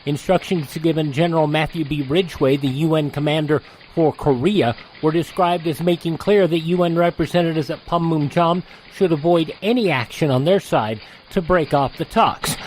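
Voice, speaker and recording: A male radio host with a fairly high voice. He talks in a folksy, conversational way as he reads to listeners. Some faint noise sits on the recording. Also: normal speed, darkish tone, rough recording. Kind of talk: host reads, sponsor pitch and old news headlines.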